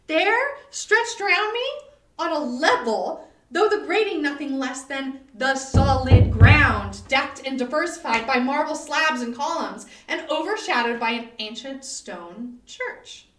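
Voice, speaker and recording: A woman giving a theatrical, deliberate and suspenseful dramatic reading of a gothic horror story. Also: sits high, lively intonation, a bit bright. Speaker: reader of horror stories. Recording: roomy echo, distant mic, live online stream.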